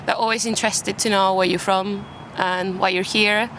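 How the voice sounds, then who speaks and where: A woman's voice: medium pitch, flat delivery, normal speed, a bit bright, poor audio. A young Spanish woman speaks English with a British accent, in a casual interview style. She is recorded outdoors in a park, with faint city noise behind her. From Málaga, Spain. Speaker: podcast guest. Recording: outdoor urban background noise. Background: faint park and traffic ambience.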